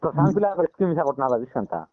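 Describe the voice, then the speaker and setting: A man with a fairly high, very animated voice and a Koraputia Odia accent chats casually. He comes through a tinny, narrow phone line. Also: rapid-fire, dry recording. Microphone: telephone line.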